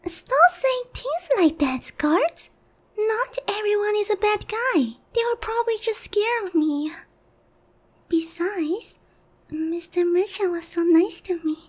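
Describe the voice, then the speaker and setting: A woman's voice: extremely high pitch, animated, unhurried. A woman playing a naive, sheltered young harpy noblewoman in a roleplay ASMR, polite, hesitant and earnest in a girlish way. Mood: defensive, earnest.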